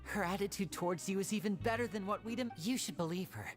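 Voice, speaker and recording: A woman's voice: mid-range voice, fast talker, faint music behind, close to an everyday voice. A woman playing a composed, reassuring and earnest young woman in an anime video game.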